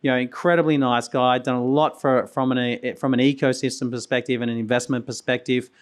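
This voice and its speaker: A hoarse man, losing his voice, hosting a conference and giving a loose, rambling keynote full of restarts and coughs. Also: medium pitch, level tone, fairly quick. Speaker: conference host and company founder. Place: conference venue.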